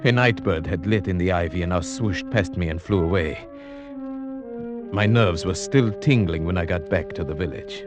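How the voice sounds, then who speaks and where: A very low-pitched male hunter played by an actor recounts his dread in measured, formal phrases. It is vintage radio horror, with prominent music under the suspenseful narration. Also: lightly acted. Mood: haunted, tense. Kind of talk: old-time radio horror drama narration and acting.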